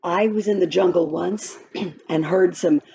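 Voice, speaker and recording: A woman reading a children's picture book aloud in an expressive, sing-song way, adding chatty asides about the pictures. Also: on the low side, a little slow, creaky, breathy edge. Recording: slightly dull lo-fi, music behind. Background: music.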